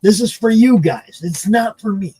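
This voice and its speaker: A man of about sixty who produces a live stream, joking loosely and talking in quick bursts. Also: high-pitched, dark tone. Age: 60s. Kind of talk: casual chat on a live stream.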